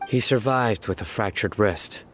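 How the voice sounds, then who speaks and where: An actor playing a stern, guarded male investigator in a video game, speaking in a serious, measured, matter-of-fact way. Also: fairly low pitch, very noisy, close to an everyday voice. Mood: grave, measured. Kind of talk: scripted video game dialogue.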